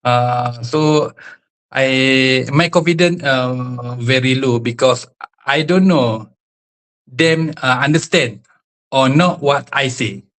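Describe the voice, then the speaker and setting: Halting Malaysian man, very slow speaker. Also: mid-range voice, fairly bright tone, rough recording. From Selangor, Malaysia. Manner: hesitant, halting, searching for words. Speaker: student in an English class. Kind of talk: self-introduction in simple learner English.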